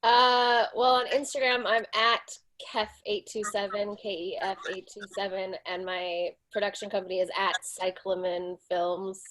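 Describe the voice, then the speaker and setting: This is a chatty woman speaking over a video call, talking about herself with self-deprecating humor. Her pitch sits in the middle. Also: little pitch movement, moderate pace, fairly bright tone, a little hiss or hum, poor audio. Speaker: actor and children's acting teacher. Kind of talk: casual video-call chat.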